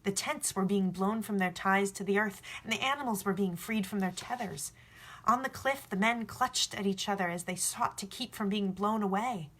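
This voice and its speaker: A woman reading a story aloud in an expressive, informal way, like a home storyteller. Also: medium pitch, moderate pace. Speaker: storybook reader. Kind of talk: book read aloud with informal asides.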